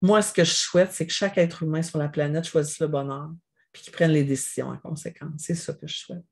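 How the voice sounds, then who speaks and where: A woman with a Québécois accent, a family business mediator explaining as an interview guest in a measured, reflective way. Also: low-pitched, fairly even intonation, gravelly. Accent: Québécois French. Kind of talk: interview answers.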